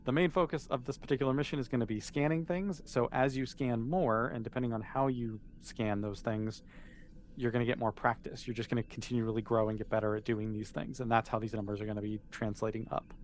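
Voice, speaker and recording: A man's voice: medium pitch, steady tone, fairly quick. A man game mastering a tabletop role-playing game, explaining and narrating in a casual, informal way.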